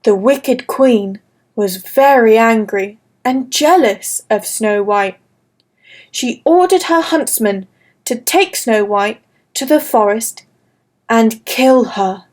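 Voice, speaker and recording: A woman narrates a classic fairy tale for children in a slow, careful, gentle reading. Her voice sits high and the pace stays unhurried throughout. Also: expressive. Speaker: storyteller. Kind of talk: scripted children's story narration.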